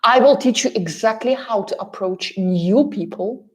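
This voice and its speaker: A woman's voice: mid-range voice, a little slow. Emphatic Russian-accented woman coaching. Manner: emphatic, repetitive, addresses listeners directly. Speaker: relocation coach and course host. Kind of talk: motivational coaching talk to camera.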